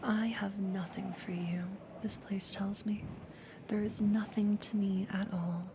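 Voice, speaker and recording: A woman playing a calm, quietly controlling therapist in a slow, breathy, whispered ASMR hypnosis roleplay, soothing yet ominous. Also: medium pitch, noisy background, close to an everyday voice. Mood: quietly menacing.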